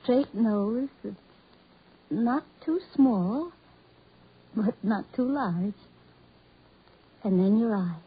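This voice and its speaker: An actress playing a gentle, trusting young woman in a 1940s radio drama, with an earnest, theatrical delivery and breathy voice. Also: medium pitch, expressive, extremely slow, pauses often, exaggerated voice. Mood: adoring, earnest. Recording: muffled 1940s radio recording.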